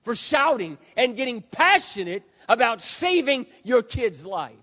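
A man preaching a sermon as pastor, hoarse, swinging from quiet earnest talk to loud impassioned shouting. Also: extremely high pitch, big pitch swings, measured pace. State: hoarse, says he has lost his voice. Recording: dull sound with long hall reverb.

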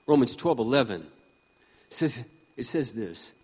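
A man around sixty preaching a sermon with impassioned emphasis, swinging between loud peaks and quiet asides. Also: medium pitch, sing-song, unhurried, slightly rough, long reverb tail. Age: 60s. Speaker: pastor.

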